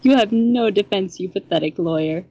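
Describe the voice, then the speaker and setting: A woman's voice: medium pitch, normal speed, mellow, dull audio, close to an everyday voice. A woman voicing a haughty, deadly female villain prosecutor with a put-on Russian accent, theatrical and scornful, in a story reading. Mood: accusing.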